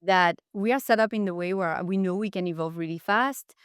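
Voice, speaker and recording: A woman's voice: medium pitch, a little fast, clear, resonant tone, no echo, clean studio sound. A woman speaking as a podcast guest, giving enthusiastic, explanatory answers in a conversational interview style. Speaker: podcast guest, marketing executive.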